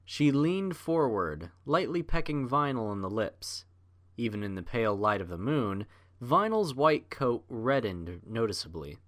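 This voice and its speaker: A man narrating a scripted story as an audiobook reader, in measured literary storytelling. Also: mid-range voice, very animated, fairly bright tone.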